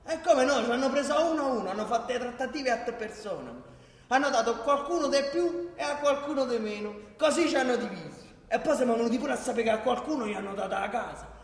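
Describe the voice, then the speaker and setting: High-pitched, bitter Roman local. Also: male voice, fast talker. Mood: bitter, aggrieved. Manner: sarcastic, indignant, listing grievances. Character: bitter, sarcastic working-class Roman resident with grievances. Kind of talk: theatrical radio play dialogue. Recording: echoey hall.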